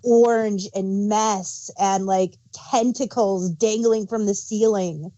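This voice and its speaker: A woman's voice: medium pitch, steady tone, takes it slowly, dark tone, no echo. A woman co-hosting a podcast, chatting casually and giving her opinions as a fan, on dry, slightly dull audio. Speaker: podcast co-host.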